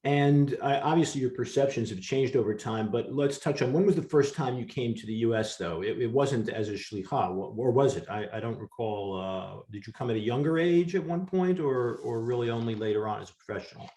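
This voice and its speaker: A man's voice: medium pitch, fairly quick, hardly any pauses, dark tone, roomy sound. A man who interviews his guest in an engaged way and asks long, searching questions. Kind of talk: conversational interview.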